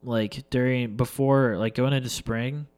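A man's voice: mid-range voice, steady tone, a little slow, darkish tone, smooth, faint music behind. A young man telling a casual, rambling story with lots of fillers and swearing, sounding a little congested from allergies.

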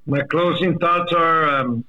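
A man from Mexico giving earnest personal remarks as a guest, halting and restating his points for emphasis. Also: fairly high pitch, pushed and bright, dull audio. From born in Mexico. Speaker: interview guest, deported military veteran.

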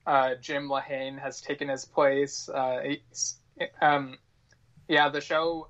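A man chatting casually as a podcast guest, halting and full of verbal filler. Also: mid-range voice, a bit bright, lo-fi sound. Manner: halting, peppers talk with "like".

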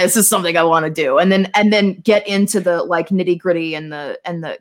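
A young adult woman, a podcast guest, chatting casually and telling stories in an animated, theatrical, self-mocking way. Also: fairly low pitch. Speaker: podcast guest, tech marketer. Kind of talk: casual conversational storytelling.

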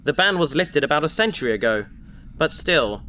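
A man's voice: on the high side, sing-song, a little fast, sharp tone, slight background noise. A man playing an earnest, bookish, idealistic space adventurer, explaining with enthusiasm in a sci-fi audio drama. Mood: thoughtful.

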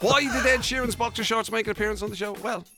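A man's voice: sits high, fairly even intonation, quick pace, over music. An Irish man co-hosting a radio podcast in casual banter, chatty and wordy, with a teasing edge. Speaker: radio show co-host.

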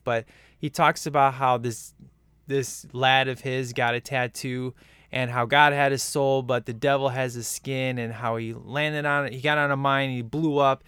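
A man's voice: medium pitch, a bit bright, smooth, dry recording, clean studio sound. A man co-hosting a casual movie-review chat, rambling and enthusiastic with lots of fillers. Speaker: podcast co-host reviewing a film.

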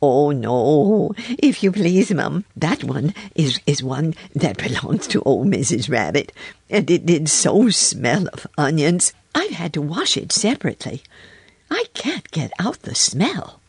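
Here is a storybook hedgehog washerwoman, played by a woman reader, small, stout and eager to please. She chatters in a deferential, fussy way, with very lively intonation and a slightly husky edge. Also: deep voice, unhurried, no room sound, full character voice. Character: stout little hedgehog washerwoman, elderly, polite and anxious. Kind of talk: children's storybook character voice.

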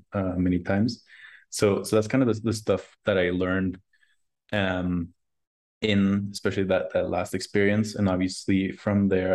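A man with a very deep voice talks about his work in an even, flat tone, with little rise or fall. He answers casually and haltingly, doubling words and leaning on fillers as he thinks. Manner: hesitant, many repeated words and fillers. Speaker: startup founder and guest.

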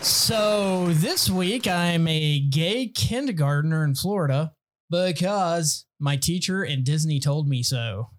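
A man's voice: a little high, lively intonation, takes it slowly. A man co-hosting a casual comedy podcast, joking and rambling with restarts. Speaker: podcast co-host.

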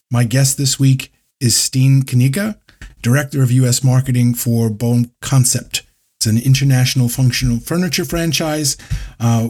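A man's voice: mid-range voice, a little mellow, slightly rough. A man hosting a podcast, introducing his guest and asking questions in an easygoing way. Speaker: podcast host.

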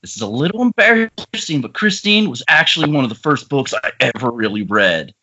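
A man playing an eager, excitable young film actor in a comedic script table read over an internet call. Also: mid-range voice, brisk, slightly rough, heavily acted. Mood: nervous, polite. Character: eager, foul-mouthed young male film actor in his twenties. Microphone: remote video-call microphone. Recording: muffled internet call, faint noise.

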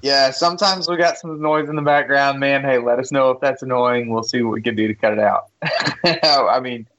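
A man co-hosting a horror movie podcast in casual chat, relaxed and chuckling often, with plenty of fillers. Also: mid-range voice, normal speed, lo-fi sound. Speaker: podcast co-host.

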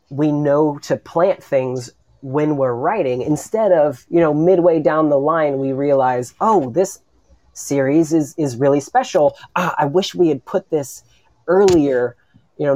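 A man speaking as a guest writer on a discussion panel, earnest and halting, often repeating his words. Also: on the high side, darkish tone.

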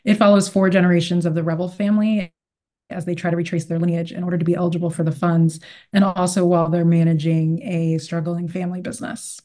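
A woman's voice: lowish voice, level tone, fast, warm tone. A woman author speaking as a guest and reading literary fiction aloud. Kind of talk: interview talk and read-aloud of literary fiction.

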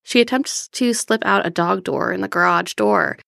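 A woman's voice: mid-range voice, moderate pace, vocal fry, a bit bright, no room sound, studio quality. A woman co-hosting a podcast, giving casual film recaps in a giggly, chatty way. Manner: giggly, laughs often. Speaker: podcast co-host. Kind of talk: casual film recap chat with narrated plot summary.

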